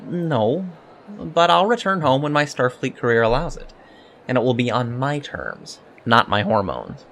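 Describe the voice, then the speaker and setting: A man's voice: medium pitch, sing-song, a little slow, gravelly, fairly bright tone, slight background noise, heavily acted. A man playing an earnest young alien cadet in an audio drama, speaking politely and precisely with hesitant ums. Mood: frank, earnest. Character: earnest young male alien Starfleet cadet, eager and scholarly. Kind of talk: audio drama interview acting.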